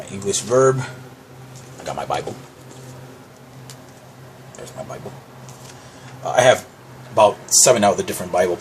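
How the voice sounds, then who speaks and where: Young man from Puerto Rico, Spanish native, low-pitched voice, rambling casual vlog delivery, faint background noise. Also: rough recording. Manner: rambling, many fillers and restarts. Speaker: vlogger. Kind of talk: casual show-and-tell monologue. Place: dim room at a desk. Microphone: handheld camera pointed at desk.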